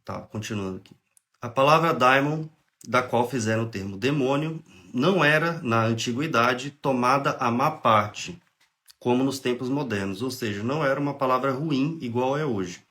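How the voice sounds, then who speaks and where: A young man with a Brazilian accent and a cold, clearing his throat now and then, hosting a relaxed spiritist study livestream, reading aloud and explaining in a chatty way. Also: medium pitch. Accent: Brazilian Portuguese. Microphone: phone or webcam on a livestream.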